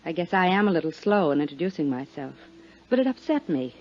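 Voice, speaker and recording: A woman's voice: fairly low pitch, big pitch swings, fast talker, darkish tone. A woman playing a polite, guarded young captain's daughter in 1950s radio drama style. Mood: reserved, polite. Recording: dull 1950s radio recording.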